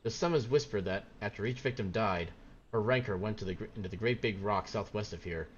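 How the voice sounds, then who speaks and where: A man reading the lines of an earnest fantasy game quest giver, in old-fashioned, measured phrasing over game music. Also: lowish voice, highs cut off, slight background noise, natural voice. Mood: musing.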